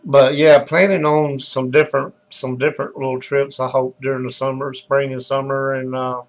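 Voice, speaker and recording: A hoarse, raspy man with a Southern US accent, hosting a live talk show in a loose, chatty way and greeting viewers by name. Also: mid-range voice, level tone, moderate pace, rough recording. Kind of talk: casual rambling live-stream chat.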